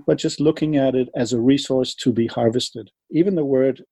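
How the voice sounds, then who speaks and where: A man from Denmark with a Danish accent, speaking English as a second language in an interview, measured and laying out his argument step by step with numbers. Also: medium pitch, warm tone, no echo. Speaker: interviewee, wildlife advocate. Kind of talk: earnest explanatory interview talk.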